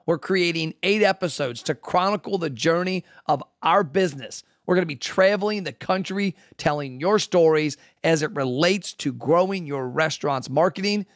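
A man's voice: a little high, a bit bright, no echo, clean studio sound. A man hosting a podcast, reading a scripted appeal to listeners in a direct, upbeat way. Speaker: podcast host. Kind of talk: scripted promo read.

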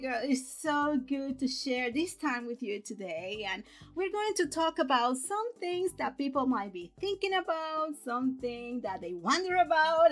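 A woman's voice: high-pitched, a bit bright. A woman speaking as an upbeat, welcoming podcast host, introducing and interviewing a guest.

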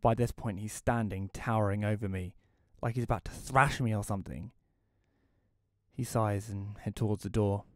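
A man playing a bitter, reclusive widower who narrates an audio play in a wry, deadpan way. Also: lowish voice, moderate pace, dry recording, studio quality, natural voice. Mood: weary, bitter.

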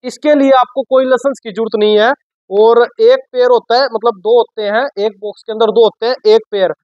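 High-pitched man, casual product pitch. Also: steady tone, fairly quick, no room sound, muffled recording. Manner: informal, repetitive explaining. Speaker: shop presenter. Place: shop office with sofa, indoors.

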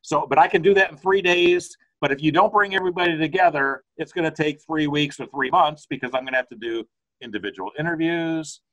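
A man's voice: a little high, brisk, a bit bright, lo-fi sound. An older American consultant speaks over a webcam from his home study. He talks in a loose, conversational way and often restarts his sentences. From United States. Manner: rambling, conversational with frequent restarts. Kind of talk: video call interview. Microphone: video call webcam.

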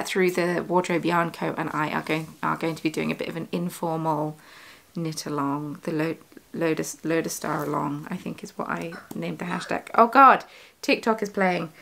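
A woman's voice: fairly low pitch, vocal fry, fairly bright tone. A young woman talks casually to her camera about her day. She rambles, correcting herself and filling gaps with ums. She is recorded at close range in a living room at home. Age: young adult. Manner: chatty, rambling, many fillers and self-corrections. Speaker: yarn shop owner and vlogger. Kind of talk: casual studio vlog. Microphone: camera on desk at close range.

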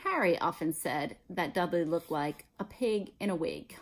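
A woman's voice: fairly low pitch, lively intonation, normal speed. A middle-aged American woman, a teacher reading a children's story aloud expressively. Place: small room at home, indoors.

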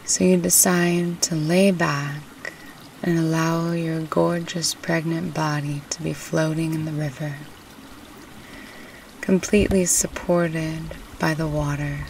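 A woman narrating a guided meditation in a soothing, unhurried, gently instructive way. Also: low voice, little pitch movement, vocal fry. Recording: distant mic with faint background noise.

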